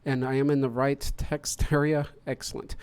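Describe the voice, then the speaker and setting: A man's voice: mid-range voice, little pitch movement, normal speed, a little mellow, clear, resonant tone. Chatty man hosting a livestream. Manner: chatty, upbeat, talks through setup. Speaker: podcast host. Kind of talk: casual livestream chat.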